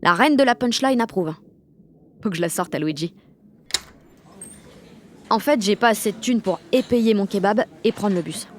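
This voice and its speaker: A woman's voice: medium pitch, expressive, normal speed, long pauses, natural voice. A woman playing a brash, cocky French teenage girl. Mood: brash, excited. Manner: slangy, sweary, punchy inner monologue. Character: brash, cocky sixteen-year-old girl narrating her day. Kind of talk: first-person audio fiction monologue.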